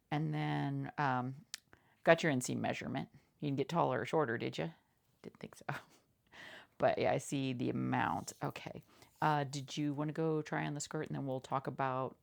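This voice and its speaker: A woman talks softly in a low register with a noticeable fry in her voice. She plays a seamstress in an ASMR roleplay, casual and halting, murmuring short reassurances and repeating them as she goes. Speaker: ASMR creator playing a seamstress. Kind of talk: soft-spoken ASMR roleplay.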